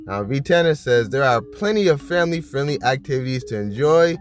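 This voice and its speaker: A man hosting a casual video, talking loosely with fillers and frequent laughs, reading list items aloud, his voice bassy from just waking up. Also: lively intonation, brisk, soft background music. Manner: rambling, laughs often, many fillers. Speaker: vlogger host.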